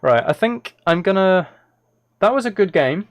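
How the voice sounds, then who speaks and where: A man's voice: high voice, expressive, moderate pace. A man live-streaming video games, chatting casually to his viewers and thinking aloud as he plays.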